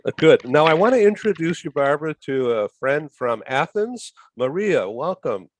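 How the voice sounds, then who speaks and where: A man's voice: on the high side, very animated, moderate pace, slight background noise. A man hosting an online talk show, welcoming and introducing guests, with frequent hesitations. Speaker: host.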